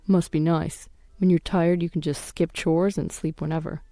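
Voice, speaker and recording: A woman's voice: on the low side, little pitch movement, normal speed, warm tone, dry recording, studio quality, soft background music, natural voice. A woman voicing a callous husband in a story narration, irritated, curt and dismissive. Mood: snide.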